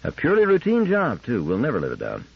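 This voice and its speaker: Casting: male narrator as bitter, exasperated male engineer for sci-fi audiobook, emphatic, highly animated, slightly husky. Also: quick pace, dry recording, muffled recording, heavily acted. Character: agitated, bitter red-haired male field engineer.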